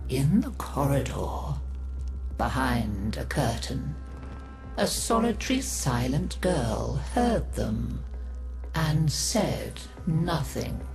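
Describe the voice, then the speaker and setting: Slow, deep, theatrical old grandmother storyteller. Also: a little mellow, heavily acted. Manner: savouring, dramatic, drawn-out delivery. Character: theatrical old grandmother telling gruesome tales. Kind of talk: theatrical scripted storytelling in a video game.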